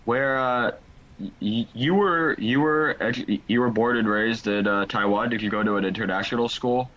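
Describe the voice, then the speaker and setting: A man's voice: medium pitch, moderate pace, a bit bright, muffled recording. A man speaking as a guest in a casual video call conversation, thinking aloud and often repeating words as he starts.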